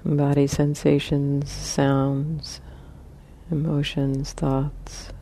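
A woman leading a guided meditation as a teacher, speaking gently in short, spaced phrases with long pauses. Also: sits low, flat delivery.

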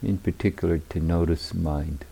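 Male meditation guide, very dark mellow tone, husky, unhurried, leaving long pauses between short phrases. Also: low voice, fairly even intonation. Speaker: meditation teacher. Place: meditation retreat hall.

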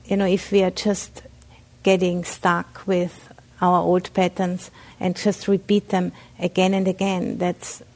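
Low, gravelly Austrian-accented woman. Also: monotone, darkish tone, poor audio. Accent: Austrian German. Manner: halting, with frequent fillers and restarts. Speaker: Buddhist nun teacher. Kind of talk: dharma talk. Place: meditation center.

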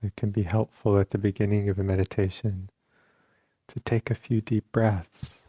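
A man teaching meditation, giving calm, unhurried guided instructions in short phrases with long pauses between them. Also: lowish voice, slightly rough, dry recording.

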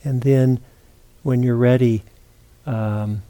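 A man teaching meditation, giving gentle, unhurried guided instructions with long pauses between phrases. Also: mid-range voice, steady tone.

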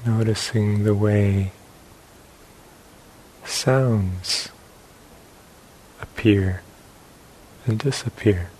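A man leading a guided meditation as a teacher, speaking in short phrases with long pauses between them. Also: on the low side, a little mellow, low-quality recording. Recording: hissy live talk recording.

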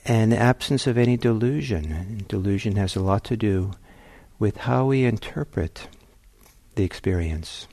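A man teaching meditation in a gentle, reflective way, pausing often as he searches for phrasing. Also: on the low side, expressive, dry recording. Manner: gentle, hesitant, reflective phrasing with long pauses. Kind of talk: guided meditation talk.

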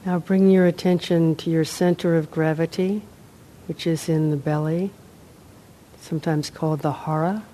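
A woman meditation teacher leading a guided meditation, unhurried, in short phrases with long pauses. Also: low voice, fairly even intonation, mellow, slight background noise, low-quality recording. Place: meditation center, live talk.

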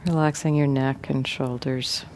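A woman meditation teacher leading a guided meditation gently and without hurry, leaving many pauses. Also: rumbling low, fairly even intonation, slight background noise.

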